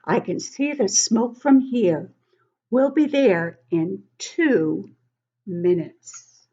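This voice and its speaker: A woman's voice: medium pitch, expressive, extremely slow, dark tone, husky, exaggerated voice. A woman voicing an urgent, capable female firefighter calling in emphatically in a children's storybook. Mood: urgent, purposeful. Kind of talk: children's storybook read-aloud. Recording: dull, lo-fi sound.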